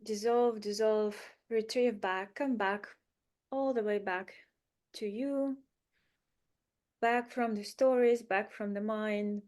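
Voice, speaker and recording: A woman leading a spiritual guided meditation in a soothing, unhurried manner, with short phrases, pauses and gentle repetition. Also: mid-range voice.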